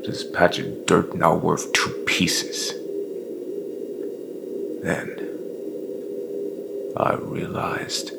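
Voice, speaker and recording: A very deep, gravelly, grim bounty hunter. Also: male voice, completely flat delivery, heavy background noise, exaggerated voice. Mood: grim, reflective. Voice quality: gravelly, breathy, half-whispered rasp. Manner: slow, brooding, folksy gunslinger drawl. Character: grim, remorseless bounty hunter and war veteran confessing. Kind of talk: dark fantasy audio drama monologue.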